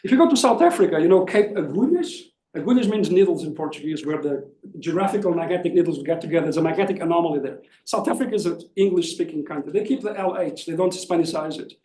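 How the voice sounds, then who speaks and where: A man's voice: fairly high pitch, animated, fast, few pauses, warm tone, a little hiss or hum. Male scholar in Q&A, explanatory, picked up at a distance in a reverberant conference room. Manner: explanatory, often tags points with "right?". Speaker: academic presenter answering questions. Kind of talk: spontaneous academic question-and-answer. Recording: echoey room, distant mic.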